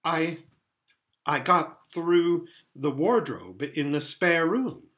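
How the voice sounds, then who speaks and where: A man's voice: a little high, slow, a bit bright, pitched above the performer's own voice. A man voicing a polite, puzzled little girl in a children's storybook, simple and hesitant in manner. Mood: uncertain.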